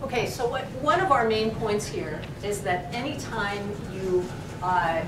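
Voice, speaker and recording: A woman professor presenting a journal issue to a library audience, thoughtful, pausing with frequent ums as she explains terms. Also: mid-range voice, lively intonation. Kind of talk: academic talk to a library audience. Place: library event room. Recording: echoey room, far mic, noisy.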